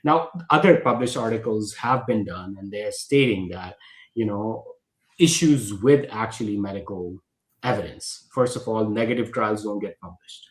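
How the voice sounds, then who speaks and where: A man's voice: on the low side, normal speed, roomy sound, low-quality recording. A man from Pakistan hosting a podcast, talking animatedly with emphatic stresses and frequent restarts. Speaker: podcast host. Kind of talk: conversational podcast discussion.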